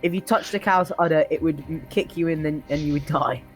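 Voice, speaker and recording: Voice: medium pitch, fairly quick, soft background music, poor audio. Storyteller stumbling through a comic tall tale. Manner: often breaks into laughter, stumbles over words. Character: old-timer reminiscing about an absurdly harsh childhood.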